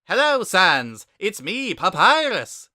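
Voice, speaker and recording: A man's voice: high voice, huge pitch swings, slow pace, bright, forceful tone, no room sound, clean studio sound, pitched above the performer's own voice, exaggerated voice. A man voicing a proud, exuberant skeleton younger brother in a dramatic story reading, declaiming each line earnestly and emphatically. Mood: proud, excited.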